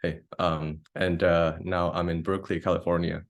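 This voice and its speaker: Very low, flat-voiced man from Indonesia. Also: muffled recording. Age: young adult. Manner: halting, many fillers and restarts. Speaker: composer. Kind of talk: casual self-introduction.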